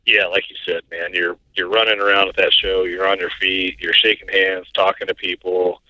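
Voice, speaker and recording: A man from Iowa, co-hosting a podcast, chatting in a relaxed, easygoing way over a remote connection. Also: low voice, moderate pace, no room sound, lo-fi sound. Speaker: podcast co-host. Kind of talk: casual chat over a remote line.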